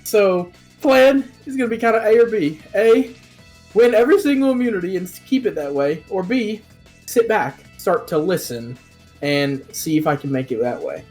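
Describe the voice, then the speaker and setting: A man talking casually about his game plan, eager and energetic. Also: high-pitched, normal speed, soft background music. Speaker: player in a online game contest.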